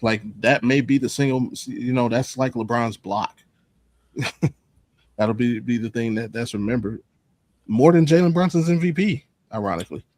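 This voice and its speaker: A man's voice: mid-range voice, moderate pace, darkish tone, no echo. A man on a sports talk panel, casually reasoning through his opinions off the cuff in an analytical way.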